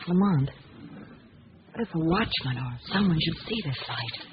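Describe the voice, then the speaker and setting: A woman's voice: sits low, lively intonation, measured pace, muffled recording, noisy background. An actress playing a sleuth's loyal, wary and inquisitive female companion in 1940s radio style, on an old recording. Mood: curious, teasing.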